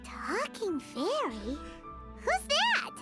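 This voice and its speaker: A woman voicing a tiny, excitable talking fairy companion in a video game, with a squeaky, childlike voice. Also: very slow, heavily acted. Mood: eager. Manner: refers to self in third person, perky. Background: music.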